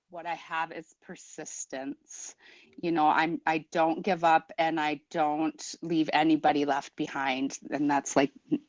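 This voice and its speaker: A woman introducing herself as a new school board member, talking in a rambling, halting, earnest way. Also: sits low, no room sound, highs cut off. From Prairie Island Indian community, Minnesota. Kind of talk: informal self-introduction at a meeting.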